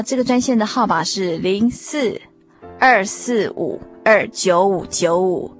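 A woman with a Taiwanese Mandarin accent hosting a radio program, talking courteously and directly to her listeners and spelling things out for them. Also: medium pitch. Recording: music bed under studio voice. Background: background music.

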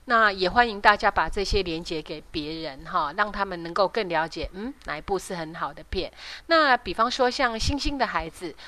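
A woman with a Taiwanese Mandarin accent hosting a podcast, explaining things informally step by step with soft particles at the ends of her sentences. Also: fairly low pitch, moderate pace. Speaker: radio show host.

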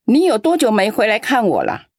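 A woman's voice: fairly high pitch, wildly swooping pitch, fairly quick, a little mellow, no echo, clean studio sound, exaggerated voice. A woman playing a lonely old grandmother. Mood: aggrieved. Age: older. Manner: fond and sighing, turns scolding and aggrieved. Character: lonely elderly grandmother, doting yet quick to scold. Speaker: mother in a radio drama. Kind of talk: radio drama acting.

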